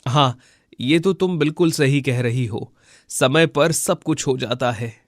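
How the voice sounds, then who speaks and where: A man voicing a poor, humble village labourer husband in a cartoon story, earnest and gentle. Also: medium pitch, unhurried, no echo, clean studio sound, lightly acted. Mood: agreeable, earnest. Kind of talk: Hindi cartoon moral-story dubbing.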